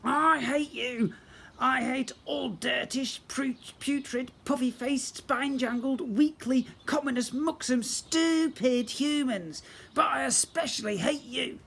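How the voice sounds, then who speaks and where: Man putting on a high, pompous goblin prince villain. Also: takes it slowly, a bit bright, exaggerated voice. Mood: furious. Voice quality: hissing. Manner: pompous, sputtering insults. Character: spoiled, sneering goblin prince, villainous and furious. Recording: clear background noise.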